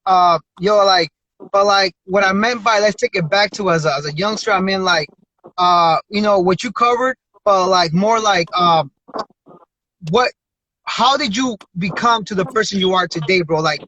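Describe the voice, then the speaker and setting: Male American podcast interviewer, high-pitched, casual and slangy, close dry slightly lo-fi sound. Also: normal speed, bright, forceful tone, dry recording. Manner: slangy, informal.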